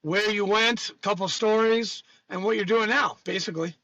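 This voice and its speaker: A man's voice: high-pitched, normal speed, bright, forceful tone, no echo. A man hosting a podcast with informal, jokey buddy banter, on a slightly dull recording. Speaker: podcast host, Marine veteran. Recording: slightly dull, band-limited sound.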